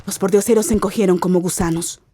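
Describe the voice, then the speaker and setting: A woman's voice: mid-range voice, fairly even intonation, quick pace, dark tone, slight background noise. A woman giving an ominous, theatrical narration of a literary radio drama.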